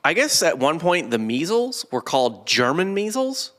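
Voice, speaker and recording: A man's voice: fairly high pitch, very animated, normal speed, fairly bright tone. A man hosting a casual comedy history podcast, explaining things in an informal, joking way. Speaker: podcast host.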